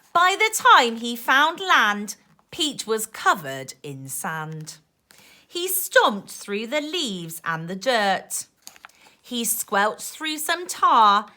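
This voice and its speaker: A woman teacher reading a rhyming picture book to young children in an expressive, sing-song way, with asides to her listeners. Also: a little high. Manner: animated, emphatic, sing-song rhyming delivery.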